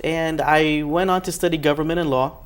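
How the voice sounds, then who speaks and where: A man's voice: fairly high pitch, fairly even intonation, fairly quick, clean tone. A young man from Elizabeth, New Jersey, born in Ecuador, answering agreeably as an interview guest and often repeating phrases. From born in Ecuador, raised in Elizabeth, New Jersey. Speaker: interview guest, public servant at a training center.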